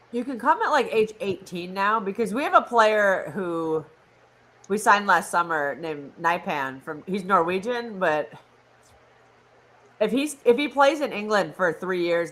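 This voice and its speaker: Female American co-host, fairly low voice, animated pitch, chatty casual banter. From United States. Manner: chatty and expressive, quick to laugh. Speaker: co-host of a live football watch-along stream. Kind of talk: casual chat.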